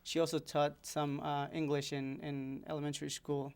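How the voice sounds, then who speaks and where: A man's voice: a little high, level tone, normal speed, smooth. A young man from Ecuador, a seminarian guest talking earnestly with many fillers and restarts.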